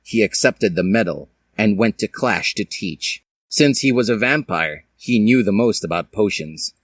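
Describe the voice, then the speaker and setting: A man's voice: lowish voice, lively intonation, measured pace, a bit bright, dry recording. A man reading fan fiction aloud as a dramatic, comic storyteller with a theatrical manner. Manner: theatrical, puts on character voices.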